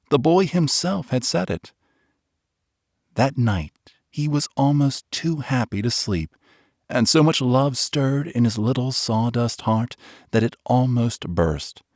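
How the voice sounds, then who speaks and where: A man narrating a children's storybook in a gentle, expressive way, slowing on the tender lines. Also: medium pitch, no echo, studio quality. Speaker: audiobook narrator.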